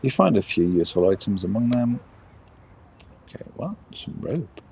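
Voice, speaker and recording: A man live-streaming a game, reading the text aloud haltingly and muttering casual asides as he plays. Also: on the low side, very animated, vocal fry, warm tone, slight background noise. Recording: music behind the voice.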